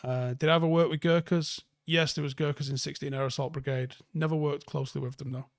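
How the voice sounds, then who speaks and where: Male livestream host, softened Mancunian accent, creaky mid-pitched voice, close dry sound, blunt and sweary off-the-cuff chat. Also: steady tone, fast talker, dry recording. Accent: northern English, softened Manchester. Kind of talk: casual chat, answering viewer comments.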